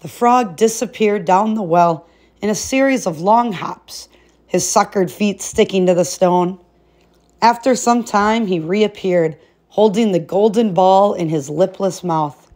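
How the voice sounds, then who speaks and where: A woman's voice: mid-range voice, measured pace, darkish tone. Woman reading a storybook aloud. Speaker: read-aloud narrator.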